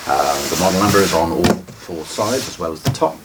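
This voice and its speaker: A man's voice: low voice, lively intonation, a little fast. A man presenting a product walkthrough off the cuff in a hesitant, matter-of-fact way. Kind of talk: unscripted product unboxing walkthrough. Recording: distant mic, noticeable background noise.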